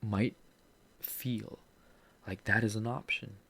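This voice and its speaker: A man's voice: on the low side, fairly even intonation, slow, vocal fry, a bit bright, husky. A man with a Filipino accent, hosting a podcast and speaking candidly and earnestly about a personal setback, with some self-deprecating humor. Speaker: podcast host. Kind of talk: personal reflective monologue.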